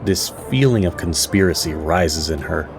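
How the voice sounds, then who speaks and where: A man narrating an audio drama as storyteller, with measured, theatrical pauses. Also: low voice, animated, music in the background.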